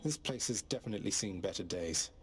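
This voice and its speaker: An actor playing a cocky, defiant young underworld prince in a video game, speaking in wry, clipped, self-assured remarks. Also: male voice, fairly low pitch, lively intonation, quick pace, lightly acted. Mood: cool, wry. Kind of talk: video game character barks. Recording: game music behind voice.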